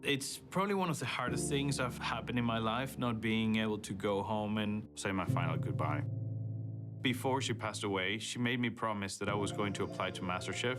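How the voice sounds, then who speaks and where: A man's voice: lowish voice, a little fast. A young man with a Venezuelan accent, a cooking contestant speaking earnestly with fillers about his dish and his grandmother. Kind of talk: casual interview and kitchen chat. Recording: music playing underneath.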